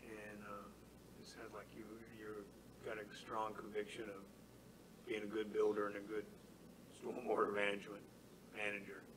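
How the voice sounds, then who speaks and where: Low, flat-voiced man losing his voice. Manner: earnest, measured, repeats key phrases. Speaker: committee member. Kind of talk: public meeting discussion. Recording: faint room noise, meeting hall.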